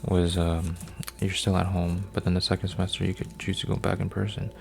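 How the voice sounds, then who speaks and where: A man in his twenties, an ASMR creator, rambling softly with lots of fillers and restarts while folding paper, sometimes dropping to a whisper. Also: low-pitched, level tone, brisk, creaky. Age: 20s. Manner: meandering, full of fillers and restarts. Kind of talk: soft-spoken rambling ASMR.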